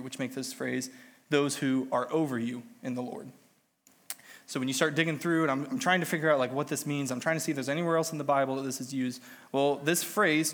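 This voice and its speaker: Male lay preacher, stuffy with a cold, slightly nasal, casual explanatory sermon delivery, mid-range voice. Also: mid-range voice. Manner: conversational, self-deprecating teaching.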